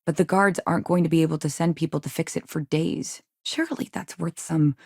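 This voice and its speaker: A woman's voice: sits low, fairly even intonation, a little fast, gravelly, darkish tone, breathy edge, dry recording, clean studio sound, heavily acted. A woman acting in a video game as a frustrated, ambitious businessman's wife in a frontier city, with a polished, earnest, exasperated manner.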